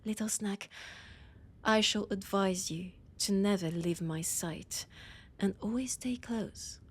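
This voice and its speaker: A woman playing a possessive vampire scholar with a French accent, in a theatrical, breathy audio roleplay monologue with dramatic pauses. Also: medium pitch. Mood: possessive, menacing. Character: annoyed, possessive female vampire scholar, ancient library guide.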